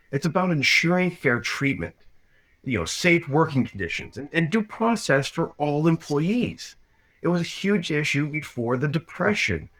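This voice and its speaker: A man's voice: medium pitch, fairly bright tone. A man giving critical reaction commentary in an argumentative, explanatory way.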